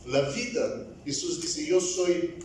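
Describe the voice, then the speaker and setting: Slow-preaching man in an echoey church. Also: fairly high pitch, expressive, darkish tone, recorded from a distance, a little hiss or hum. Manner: deliberate, halting delivery in a second language. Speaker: evangelist preacher. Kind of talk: sermon.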